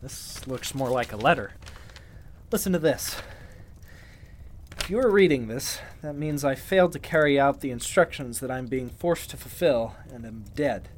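Man acting a lively, capable congressman, old radio thriller. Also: mid-range voice, moderate pace, a little hiss or hum, natural voice. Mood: guarded. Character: arrogant, capable congressman trapped by a killer, uneasy and practical. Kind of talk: golden age radio drama acting.

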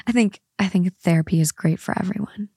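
A woman co-hosting a casual podcast, reading long online posts aloud in an even, steady way and chatting between them. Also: lowish voice, breathy edge, no echo, clean studio sound. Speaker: podcast co-host.

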